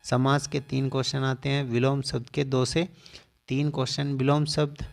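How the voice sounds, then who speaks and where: Man, coaching teacher, flat intonation, mid-pitched, instructional, point-by-point listing, repeats key items. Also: normal speed, creaky. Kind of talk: exam-syllabus lesson, listing topics point by point.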